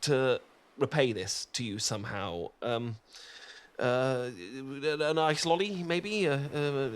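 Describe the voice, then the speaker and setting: A man's voice: medium pitch, slow, clear, resonant tone, lightly acted. A man playing a flustered sunburned British guy. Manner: stammering, self-deprecating, nervous laughs. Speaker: ASMR performer. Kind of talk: ASMR romantic roleplay.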